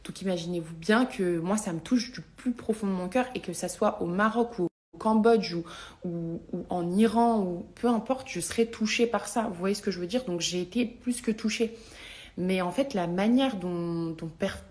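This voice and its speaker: Young adult female vlogger, mid-pitched voice, slangy and emphatic, confiding in followers. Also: darkish tone. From Algerian family. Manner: slangy, emphatic, repeats herself for stress. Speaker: social media vlogger. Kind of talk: casual confessional story to followers.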